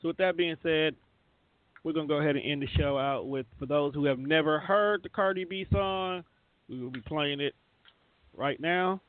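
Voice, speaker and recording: Male radio host, slightly high voice, informal and slangy, casual show talk, thin telephone-grade sound. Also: normal speed, dry recording. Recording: narrow, phone-like sound.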